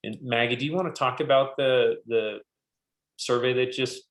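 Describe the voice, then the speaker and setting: A man's voice: medium pitch, normal speed. A man giving an informal business presentation, explaining things step by step and often restating himself. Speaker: webinar presenter. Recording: roomy, echoey sound.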